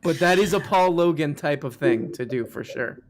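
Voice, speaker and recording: A man's voice: a little high, animated, normal speed. A man hosting a live call-in stream, chatting casually with callers and joking with mock outrage. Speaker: live show host taking callers.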